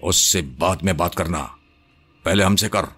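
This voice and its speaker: A man voicing an angry, arrogant male spirit that possesses a woman, speaking slowly and threateningly in an audio story. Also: deep voice, expressive, breathy edge. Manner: slow, angry, threatening, imperious.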